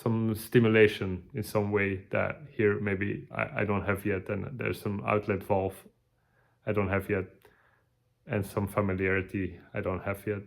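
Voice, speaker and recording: A tired man, giving a halting, casual video update with many restarts. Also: fairly low pitch, level tone, vocal fry. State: tired, burned out. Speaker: vlogger.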